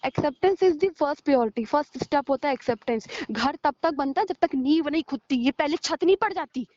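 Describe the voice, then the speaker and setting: High-voiced woman in a scolding phone argument. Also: moderate pace, a little mellow, dry recording. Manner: scolding, sarcastic; mixes in English words and phrases. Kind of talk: heated relationship argument on a phone call. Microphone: recorded phone call. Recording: dull, lo-fi call recording.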